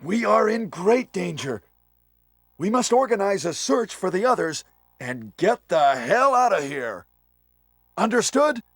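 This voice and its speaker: A man's voice: sits high, very animated, unhurried, creaky, a bit bright, dry recording, clean studio sound, full character voice. An actor playing an earnest young male special forces soldier in a 1990s video game cutscene, with stilted, wooden delivery. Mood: commanding.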